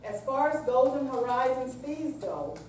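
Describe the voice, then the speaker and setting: A woman playing a patient, coaxing grown daughter in a staged reading, with deliberate, read-out delivery. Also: sits high, big pitch swings. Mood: coaxing, earnest. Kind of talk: staged readers theater reading. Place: senior center room, staged live reading. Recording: very echoey hall, distant mic, faint noise.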